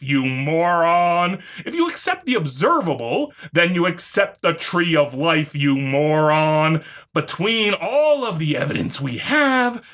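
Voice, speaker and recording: A woman commentator rebuts off the cuff with open sarcasm. Her voice is lively and a little rough-edged, sounding exasperated and freely profane. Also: lowish voice. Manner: exasperated, sarcastic, foul-mouthed. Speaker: online video commentator.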